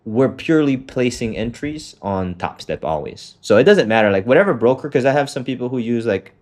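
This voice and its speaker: A man's voice: mid-range voice, lively intonation, brisk, a little mellow. A man coaching a student through a trading setup on a call, talking loosely with lots of fillers and the odd swear. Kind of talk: casual walkthrough on a call.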